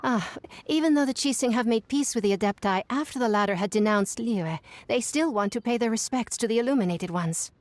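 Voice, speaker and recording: A prim, overworked young secretary acted by a woman explains things in a fairly high voice. The delivery is formal and dutiful, in the style of video game dialogue. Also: brisk, few pauses, no room sound, lightly acted. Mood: formal, weary.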